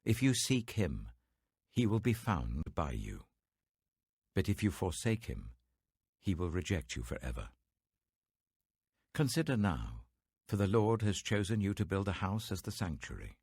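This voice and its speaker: British man as a deep, husky old king. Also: big pitch swings, a little slow, pauses often, darkish tone, no room sound, studio quality, exaggerated voice. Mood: grave, exhorting. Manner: solemn, declamatory, weighty address. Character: aged Israelite king, commanding, devout, fatherly.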